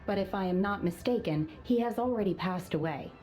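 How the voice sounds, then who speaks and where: Woman voicing a calm, reserved young woman. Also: mid-range voice, brisk, soft background music, close to an everyday voice. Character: calm, aloof young woman trained by immortals. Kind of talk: game dialogue.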